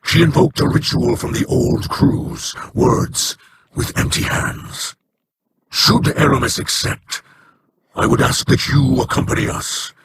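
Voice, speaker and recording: Brief: a measured, formal game ally, gravelly and slow-spoken. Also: male voice, medium pitch, very noisy, heavily acted.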